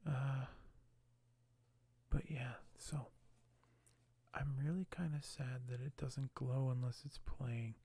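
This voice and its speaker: A soft-spoken man hosting an ASMR unboxing, talking in a hushed, halting way that often nears a whisper. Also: mid-range voice, fairly even intonation, breathy edge, no echo. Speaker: ASMR video host.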